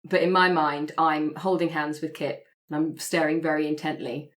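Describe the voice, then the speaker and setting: A woman's voice: low-pitched, little pitch movement, moderate pace. A woman playing a tabletop roleplaying game, talking conversationally in and out of character. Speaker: player in an actual-play podcast.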